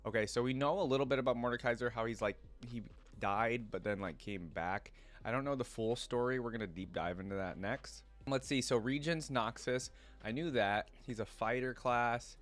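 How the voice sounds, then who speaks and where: A man's voice: mid-range voice, moderate pace, faint music behind. A man hosting a reaction video, reading lore aloud and adding casual asides.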